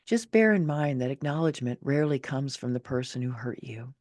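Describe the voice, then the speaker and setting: Expressive low-voiced woman reading her own essay. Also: fairly quick, no echo, studio quality. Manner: emphatic, theatrical, motivational delivery. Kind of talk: expressive scripted narration of a personal essay.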